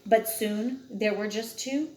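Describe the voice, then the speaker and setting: A woman's voice: mid-range voice, slow pace, a little mellow, roomy sound, a little hiss or hum, low-quality recording. A woman reading a picture book aloud expressively, as a storyteller to a child, with dramatic emphasis on key words.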